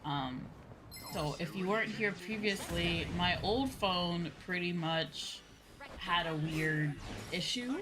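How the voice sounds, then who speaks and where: A woman livestreamer chatting casually to her viewers in a rambling, repetitive way. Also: on the low side. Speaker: VTuber streamer. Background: background music.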